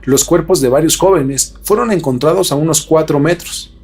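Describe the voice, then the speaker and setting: Man narrating mystery stories over music, higher-pitched voice. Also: moderate pace, darkish tone, slightly rough. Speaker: podcast host. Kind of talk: scripted mystery storytelling narration.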